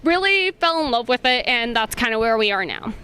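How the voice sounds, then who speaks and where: A woman's voice: a little high, animated, fairly quick, sharp tone. A young woman chatting casually and enthusiastically as an interviewed guest at a convention. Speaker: professional cosplayer being interviewed. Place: convention venue. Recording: on-location interview with clear background noise.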